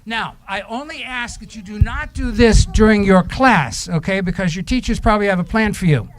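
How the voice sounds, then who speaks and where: A man's voice: high voice, moderate pace. A man leading a children's time at church, reading aloud and chatting to the children in a playful way, with questions and simple instructions.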